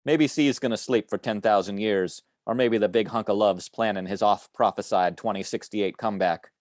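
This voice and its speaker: A man, an author, reading his own short story aloud over a video call with a wry, deadpan delivery, then chatting casually. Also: lowish voice, moderate pace, no room sound, studio quality. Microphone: home computer mic on a video call.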